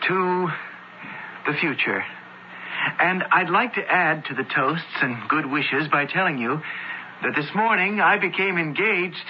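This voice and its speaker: High-voiced young Roman nobleman, impassioned, theatrical radio drama, muffled noisy recording. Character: young Roman architect, impassioned lover, poisoned into raving madness. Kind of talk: old-time radio drama acting.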